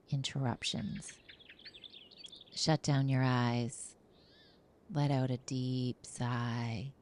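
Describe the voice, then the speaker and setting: A woman guiding a relaxation meditation as a teacher, with a soothing, gentle and hushed delivery. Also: extremely low pitch.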